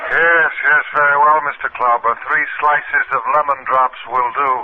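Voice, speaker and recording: Man acting an agitated dreamer, higher male voice, childishly fretful, radio drama, heavy static. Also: steady tone, moderate pace, close to an everyday voice. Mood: petulant. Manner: petulant, insistent, repetitive. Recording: very noisy narrow-band old radio recording.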